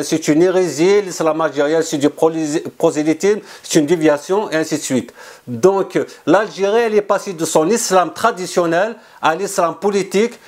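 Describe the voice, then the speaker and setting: A man speaking as an author in an interview, earnest and insistent, often restarting and repeating words. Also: high-pitched. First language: not French. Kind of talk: explanatory interview answers.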